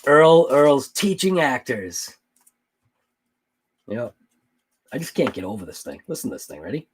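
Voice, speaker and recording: A man's voice: mid-range voice, sing-song, unhurried, slight background noise. A man hosting a casual late-night livestream about RC cars, thinking aloud and answering live chat. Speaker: RC hobby channel host.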